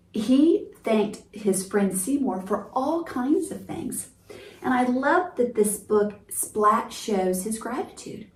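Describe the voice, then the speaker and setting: A woman's voice: mid-range voice, a little mellow. A woman hosting storytime for young children, speaking in a simple, encouraging, teacherly way as she reads and explains. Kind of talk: picture-book reading and explaining to young children. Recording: roomy, distant mic, faint noise.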